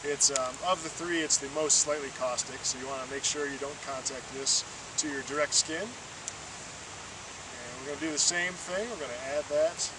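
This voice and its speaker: A man's voice: fairly high pitch, normal speed. A man giving a patient, step-by-step, encouraging hands-on demonstration as a field trainer. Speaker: water-quality field trainer. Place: outdoors beside flowing water. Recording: distant mic, loud outdoor stream noise.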